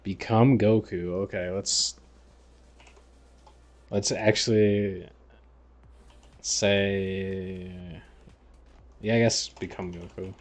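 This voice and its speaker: A man's voice: fairly low pitch, fairly even intonation, drawn-out words, long pauses. A man chatting casually and slangily as he livestreams a game, half talking to himself. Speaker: livestreamer playing a text adventure game. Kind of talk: casual gaming stream chat.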